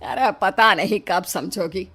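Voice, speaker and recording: A woman's voice: mid-range voice, slow pace, a bit bright, husky, voice pushed down. A woman voicing a worried older mother in a cartoon comedy, coaxing and motherly with her grown family. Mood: worried, chiding. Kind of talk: Hindi cartoon comedy voice acting.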